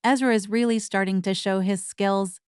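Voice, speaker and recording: A woman's voice: mid-range voice, steady tone, moderate pace, clean tone, no room sound, studio quality, lightly acted. A woman playing a prickly, dutiful archivist sister, giving formal scripted recaps with defensive banter. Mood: prickly, composed.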